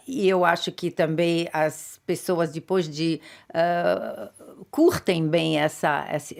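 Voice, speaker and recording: A woman with a notably low voice answers questions in a studio. Her delivery is thoughtful and hesitant, with frequent fillers and restarts. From Brazil. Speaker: interview guest, chamber of commerce president. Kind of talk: interview answers. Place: radio studio.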